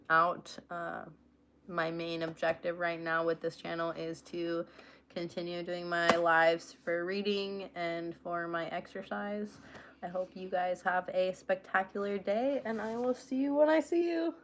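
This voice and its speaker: A woman's voice: fairly low pitch, little pitch movement, measured pace, a bit bright, slight background noise. Chatty woman hosting a livestream. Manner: chatty and affectionate with viewers, laughs easily. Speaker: livestream host. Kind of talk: casual livestream chat and reading aloud.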